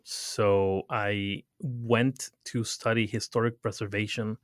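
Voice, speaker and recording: A middle-aged man from Ecuador, a guest in a studio interview, telling his story casually in quick bursts. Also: on the low side, steady tone, no echo. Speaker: guest, retail consultant. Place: recording studio.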